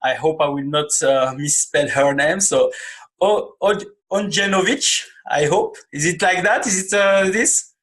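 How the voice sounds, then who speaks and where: Male podcast host, thirties, slightly high voice, hesitant conversational interviewing. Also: measured pace, fairly bright tone. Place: small indoor room, video call.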